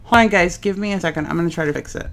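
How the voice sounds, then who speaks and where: A woman's voice: on the low side, rapid-fire, vocal fry. Nasal, chatty woman hosting a craft stream. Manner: chatty, addresses viewers directly, rambling. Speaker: craft livestream host. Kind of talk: casual live sewing chat. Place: home craft room, indoors.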